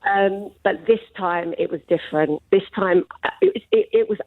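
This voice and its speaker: A woman's voice: medium pitch, moderate pace, no room sound. A woman calling a radio phone-in from London, nervous and hesitant, with lots of fillers. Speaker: radio caller. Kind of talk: phone-in call. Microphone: telephone line. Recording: tinny phone line.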